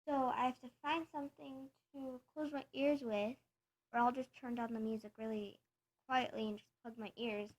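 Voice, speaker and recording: Soft-spoken, rambling woman vlogger. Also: a little high, fairly even intonation, normal speed, poor audio. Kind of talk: casual chat to camera.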